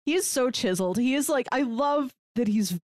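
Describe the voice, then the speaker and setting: A woman in casual, jokey podcast conversation about books, with an animated delivery that slips into acting out little quotes. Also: a little high, normal speed, no echo, studio quality. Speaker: podcast co-host. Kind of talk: casual book-discussion chat.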